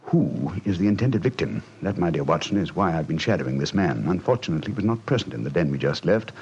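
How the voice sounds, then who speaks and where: An actor playing a suave, confident English gentleman detective with a British accent and precise, theatrical delivery, in old-time radio style. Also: low-pitched, animated, fast talker, slightly rough, full character voice. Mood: intent deduction. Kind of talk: 1940s radio acting. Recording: dull, noisy old radio recording.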